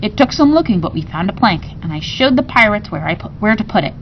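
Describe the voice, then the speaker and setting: A woman's voice: medium pitch, big pitch swings, fast talker, lo-fi sound, slight background noise. A woman reading a children's storybook aloud expressively, like a teacher to a class.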